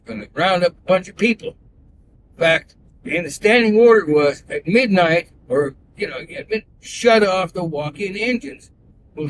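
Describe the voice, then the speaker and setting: Rambling man, high voice, road rumble. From born in Belgium, raised in Canada. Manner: folksy, digressive, with frequent "uh" and restarts. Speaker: rideshare passenger telling sailing stories. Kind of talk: rambling casual storytelling. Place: inside a moving car. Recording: hidden mic in a car, road noise.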